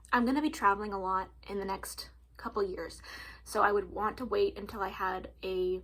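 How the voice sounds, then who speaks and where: A woman's voice: medium pitch, a bit bright. A woman in her early twenties talking casually to camera at home, chatty, with lots of "like" and "um" and frequent laughs. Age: 20s. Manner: chatty, lots of likes and ums, laughs often. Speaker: vlogger.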